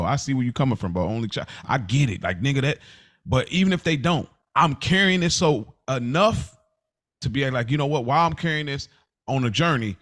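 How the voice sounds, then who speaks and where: Male co-host, average pitch, loose conversational delivery full of slangy, emphatic repetition. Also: a bit bright. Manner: colloquial, emphatic, repeats phrases; reflective, empathetic. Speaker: podcast co-host. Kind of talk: casual personal chat.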